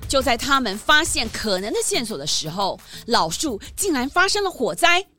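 A woman narrating a children's mystery story in a bright, expressive storytelling manner. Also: on the high side, moderate pace, soft background music.

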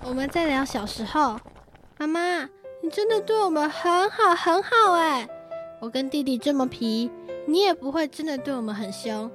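A teenage girl plays an affectionate, bossy big sister in a children's radio play. She speaks high with a Taiwanese Mandarin accent, over faint music. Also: animated, normal speed, a bit bright. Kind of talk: children's radio drama acting.

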